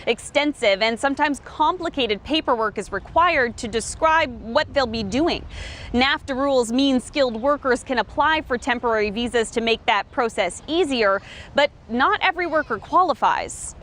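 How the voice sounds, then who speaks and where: A woman news reporter delivering a scripted report in a measured, even way. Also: high voice, slight background noise.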